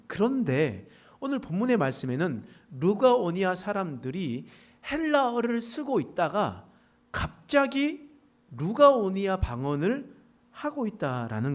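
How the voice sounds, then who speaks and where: A middle-aged man from the Pohang countryside preaches to his congregation, and traces of a Gyeongsang dialect slip into his speech. His voice sits a little high for a man and swings widely in pitch. He tells his points in a folksy way with joking asides. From South Korea, Pohang area. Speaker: pastor. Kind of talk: sermon. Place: church sanctuary.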